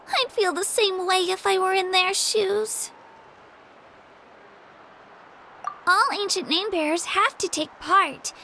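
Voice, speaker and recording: A woman's voice: very high voice, gravelly, fairly bright tone, a little hiss or hum, heavily acted, low-quality recording. A woman voicing an earnest, humble young girl warrior in a video game, girlish and bubbly but hesitant. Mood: resigned, plucky. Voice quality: girlish, youthful. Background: background music.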